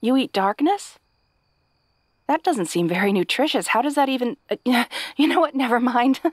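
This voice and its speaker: A woman's voice: on the high side, no room sound, clean studio sound. A woman playing a kind, hapless young princess in a fantasy audio roleplay, talking to the listener in a nervous, stammering, chatty way. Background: night sounds with crickets.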